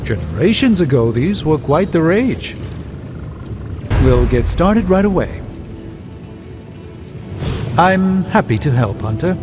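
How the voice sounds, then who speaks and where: A man's voice: fairly high pitch, big pitch swings, takes it slowly, long pauses, narrow, tinny audio. A man playing a haughty middle-aged sorcerer superhero in a video game, speaking formally with dry sarcasm. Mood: composed, formal. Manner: formal, pompous, dryly sardonic. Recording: thin band-limited audio, loud music behind.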